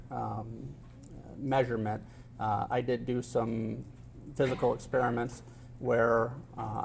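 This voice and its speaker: Gravelly middle-aged man testifying in court. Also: mid-range voice, a little mellow, a little hiss or hum. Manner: measured, technical answers with many hesitations; polite and formal with the questioner. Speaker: expert witness.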